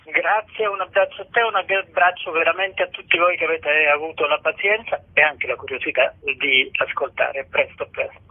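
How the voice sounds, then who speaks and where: A man speaking as an interviewed author in an earnest, halting way over the telephone. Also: on the high side, steady tone, slightly rough. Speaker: author interviewed about own book. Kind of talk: radio phone interview. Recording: muffled, narrow phone line.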